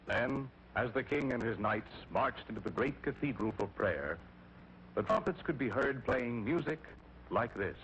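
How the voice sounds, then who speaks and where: A man's voice: medium pitch, expressive, a little slow. A man narrating a scripted children's story in a theatrical manner that addresses young listeners directly, on an old cartoon soundtrack. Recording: dull, noisy vintage cartoon soundtrack.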